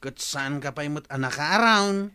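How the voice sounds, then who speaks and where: An actor playing an impatient, cheeky young nephew in a Filipino radio drama. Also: male voice, a little high, lively intonation, moderate pace, pushed and bright, clean tone.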